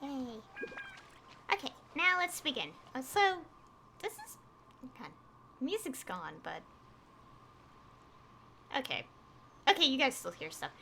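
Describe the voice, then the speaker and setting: A woman's voice: high-pitched, sing-song, takes it slowly, creaky, long pauses, pushed and bright. A woman game streamer chatting casually in a rambling, informal way into a headset. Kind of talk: casual livestream chat. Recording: faint background noise.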